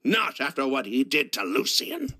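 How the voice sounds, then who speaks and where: A man's voice: mid-range voice, wildly swooping pitch, moderate pace, bright, forceful tone, faint music behind, heavily acted. A man voicing a bitter, defiant and vengeful criminal in a video game, with a theatrical, scornful delivery.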